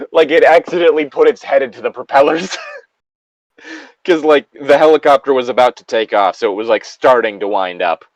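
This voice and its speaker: A man's voice: mid-range voice, normal speed, dry recording, dull audio. A man chatting casually with friends, swearing freely and prone to laughing. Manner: crude, sweary, given to laughing. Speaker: co-host of a group creepypasta reading. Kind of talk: casual banter with friends between read-aloud story passages.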